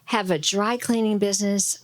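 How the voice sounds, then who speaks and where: A woman's voice: medium pitch, fairly even intonation, normal speed, no room sound. A woman hosting a live event and interviewing guests informally, in a playful, encouraging way.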